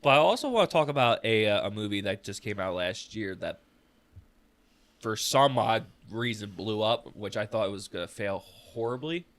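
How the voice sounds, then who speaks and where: A man's voice: on the low side, expressive, moderate pace, a bit bright. A man co-hosting a podcast in casual, conversational chat. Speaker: podcast co-host.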